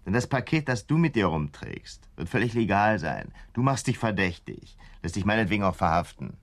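A man's voice: on the low side, big pitch swings, a little fast. A man acting a cold, scheming leader of militant plotters in a German radio drama, commanding and sardonic.

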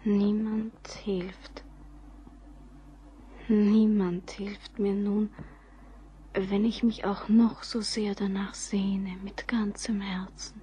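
Hushed, slow-spoken anxious bride. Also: female voice, mid-range voice, flat delivery, slight background noise. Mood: fearful, resigned. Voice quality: breathy, often near whispering. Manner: halting, hushed, solemn. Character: anxious, doomed young bride, gentle and resigned. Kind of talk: German radio play acting.

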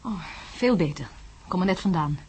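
A woman acting in a radio drama as a brisk, down-to-earth wife, in conversational dialogue. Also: on the low side, animated, a little mellow, slight background noise, natural voice. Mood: relieved, concerned.

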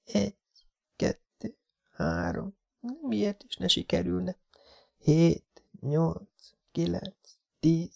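A man's voice: high-pitched, big pitch swings, vocal fry, long pauses, voice pushed up, exaggerated voice. A man voicing a frightened young woman, counting under his breath and whispering her panicked thoughts in a storybook. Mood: anxious whisper. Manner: breathless, hushed inner counting.

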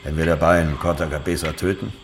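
An actor playing a grave local man in a radio drama, speaking tersely and matter-of-factly about dark events. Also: rumbling low, steady tone, fast talker, music in the background.

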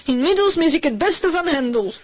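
A woman acting in a radio drama as a sceptical, exasperated wife who contradicts drily in a husky voice. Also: high-pitched, expressive, moderate pace, no room sound.

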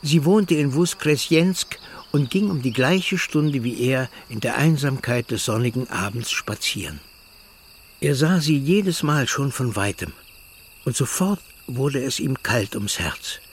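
A man's voice: mid-range voice, animated, unhurried, slight background noise. A man reading scripted literary prose as a narrator.

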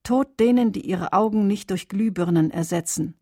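A woman reciting poetry for a radio drama in deliberate, weighted, declamatory phrases. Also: medium pitch, dark tone, husky, no echo, clean studio sound, lightly acted. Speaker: reader in a radio adaptation of a poem cycle.

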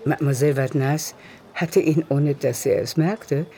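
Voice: medium pitch, moderate pace, mellow, natural voice. A performer as a composed literary narrator, reading scripted narration in a radio drama. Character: composed literary narrator of a nineteenth-century novel.

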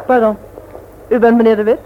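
Through heavy noise on an old radio drama recording comes a brisk, practical woman, acted by a woman. She is forward and bossy, questioning a suitor in a businesslike way. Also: fairly high pitch, little pitch movement, phone-quality sound, close to an everyday voice. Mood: brisk, self-assured. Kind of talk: Dutch radio play acting.

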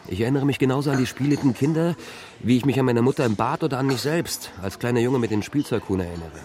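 An actor playing a troubled, brooding man haunted by memories in a radio drama, speaking in halting fragments. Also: mid-range voice, darkish tone, close to an everyday voice. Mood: insistent, unsettled. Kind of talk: radio drama acting, introspective monologue and dialogue.

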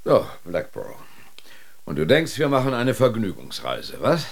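An actor playing a stern, dismissive polar expedition commander in a radio drama, clipped and condescending with a husky voice. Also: male voice, on the low side, wildly swooping pitch, moderate pace, heavily acted. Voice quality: husky, breathy.

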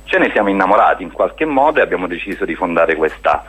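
A man's voice: low voice, very animated, fast, a bit bright, breathy edge, rough recording. A man speaking as a guest in a radio interview, explaining a project in an earnest way. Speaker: guest, spokesman of a hiking association.

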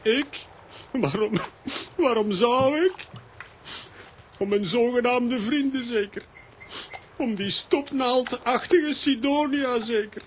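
An actor playing a touchy, excitable, clumsy fisherman in a theatrical, exclamatory way for a children's audio drama. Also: very high voice, slow pace, long pauses, a little hiss or hum. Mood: hurt, bitter.

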